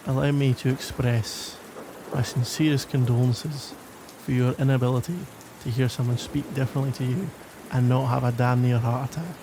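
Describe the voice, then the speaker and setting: A man's voice: medium pitch, steady tone, normal speed, background noise, natural voice. A man playing a grudgingly caring, sarcastic young Glaswegian in an exasperated, teasing ASMR roleplay monologue. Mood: mocking, sarcastic. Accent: Glaswegian Scottish.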